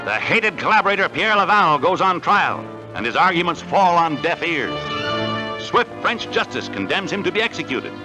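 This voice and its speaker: A man's voice: a little high, very animated, moderate pace, rough recording. An American man narrating a 1940s newsreel in a dramatic, declamatory scripted style on a vintage soundtrack. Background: loud music.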